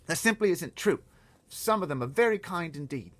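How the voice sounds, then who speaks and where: A man voicing a brave, indignant little orphan girl in a storybook reading, high, loud and pleading. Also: lively intonation, normal speed, dry recording.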